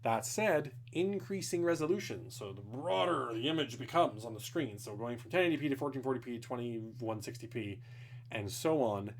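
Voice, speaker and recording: A man's voice: mid-range voice, expressive, moderate pace, a little hiss or hum. A young man, rambling casually through technical viewer questions at home, swearing now and then. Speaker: streamer answering viewer questions. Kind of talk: casual live Q&A. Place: home, indoors.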